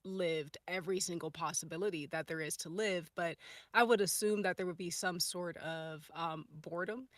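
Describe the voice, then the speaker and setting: A woman's voice: lowish voice, moderate pace, fairly bright tone, no room sound. A woman chatting casually and off the cuff, thinking aloud with emphatic repeats. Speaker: podcast cast or crew member. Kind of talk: casual, off-the-cuff group chat.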